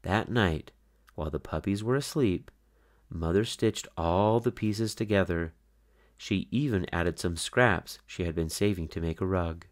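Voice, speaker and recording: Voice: low voice, unhurried. A narrator reading a children's picture book aloud in a scripted storytelling style.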